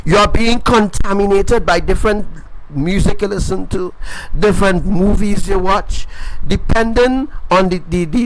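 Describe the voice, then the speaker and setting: A man with a very high voice delivers a live-streamed sermon straight to camera. He is emphatic and repetitive, driving each phrase home again and again and calling his viewers folks. A faint background noise sits under his voice. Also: a bit bright, low-quality recording. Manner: addresses viewers directly as folks. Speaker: self-styled preacher.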